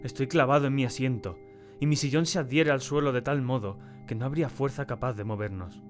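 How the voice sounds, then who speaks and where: A man acting a tormented, terrified diarist in a dramatic first-person audiobook narration, with a solemn and deliberate, theatrical delivery. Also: mid-range voice, over music, lightly acted. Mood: anguished, subdued. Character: tormented, terrified man confiding in his diary.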